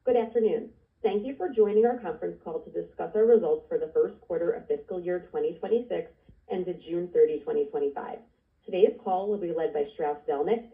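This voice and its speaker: A woman executive reading formal, scripted corporate remarks in a measured way on a conference call. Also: lowish voice. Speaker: investor relations executive. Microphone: telephone conference line. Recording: distant, tinny phone line.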